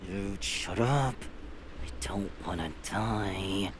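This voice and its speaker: A man voicing a flippant, excitable, whiny slacker in an audio drama, with slangy delivery. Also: deep voice, slow, a little hiss or hum. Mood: scared.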